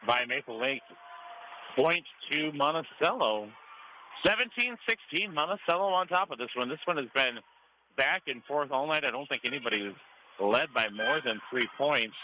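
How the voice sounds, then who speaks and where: An American man doing live radio play-by-play commentary of a volleyball match. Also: mid-range voice. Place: high school gym during a match. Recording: clearly noisy gym ambience. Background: gym ambience and noise.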